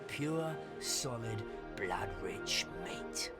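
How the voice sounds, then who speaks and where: A man's voice: on the low side, animated, very slow, loud music behind the voice. An actor playing a hungry, grumbling male sailor from the 1800s.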